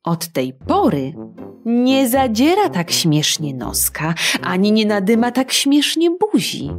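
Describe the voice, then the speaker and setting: Storyteller, woman, slow, lively pitch, bedtime tale read over soft music. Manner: expressive, slow, playful. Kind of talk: children's audiobook narration.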